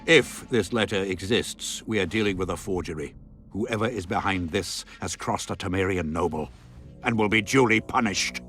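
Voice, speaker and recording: A proud, imperious baron in a fantasy game. His low voice is stiff and formal, with haughty authority, and music sits faintly behind it. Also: moderate pace, fairly bright tone. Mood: coldly imperious. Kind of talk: video game cutscene voice acting.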